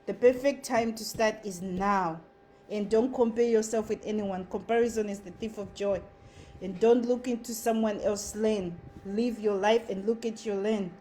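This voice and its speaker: A woman's voice: mid-range voice, fairly even intonation, moderate pace, dark tone, slight background noise. A woman with a Zimbabwean accent giving an earnest motivational talk as a content creator. She drives her points home by repeating short phrases.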